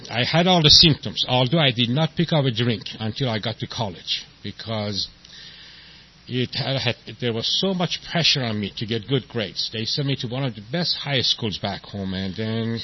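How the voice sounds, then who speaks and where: Iranian-accented man in his sixties. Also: medium pitch. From Iran. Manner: halting, self-deprecating, many fillers and restarts. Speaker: main speaker at a recovery meeting. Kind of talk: personal testimony at a meeting. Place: meeting hall with an audience. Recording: dull lo-fi recording with faint noise.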